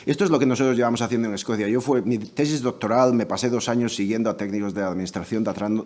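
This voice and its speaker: A man's voice: lowish voice, darkish tone. Hesitant man speaking at a debate. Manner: thoughtful, many restarts and hesitations. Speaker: debate panelist. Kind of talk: spoken debate contribution.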